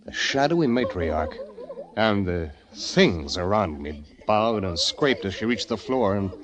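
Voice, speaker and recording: A man's voice: lowish voice, big pitch swings, unhurried, crowd noise. A man playing a nervous, reclusive poet narrating his diary in an old radio drama, intense and hesitant. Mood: horrified, intense. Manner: hesitant, breathless intensity, stammering. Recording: vintage radio drama with music behind.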